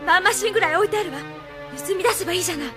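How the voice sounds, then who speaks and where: A woman's voice: very high-pitched, rapid-fire, over music. An actress playing a determined, idealistic young female resistance fighter in a Japanese sci-fi audio drama, earnest and insistent. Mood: determined, pleading.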